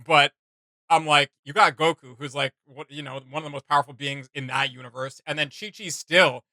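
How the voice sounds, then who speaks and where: A man hosting a reaction video, chatting casually in an animated, rambling, slangy way. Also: a little high, a little fast, no room sound.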